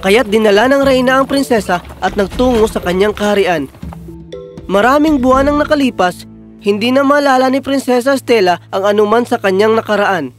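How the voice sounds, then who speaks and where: A man with a high voice serves as narrator. He reads a scripted fairy tale, with soft music underneath. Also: a little fast.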